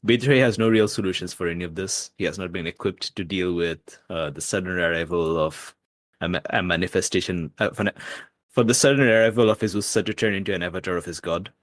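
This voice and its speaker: A man with a low voice talks through a tabletop game as a player. His delivery is casual but emphatic, restating points for stress, and the sound is dry. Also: fairly even intonation, brisk, no echo. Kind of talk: casual out-of-character game chat.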